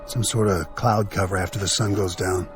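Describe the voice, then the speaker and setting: A man's voice: deep voice, steady tone, normal speed, music in the background, close to an everyday voice. An actor in a radio drama playing a stern military spaceman on recon, with a commanding, scolding manner. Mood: urgent, commanding.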